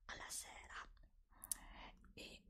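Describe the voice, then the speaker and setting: A middle-aged woman in a soothing ASMR roleplay as a doctor, whispering breathily very close to the mic. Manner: soothing, unhurried, softly reassuring. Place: indoors, close-up in front of a dark backdrop. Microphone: desktop condenser mic with ear attachments, very close.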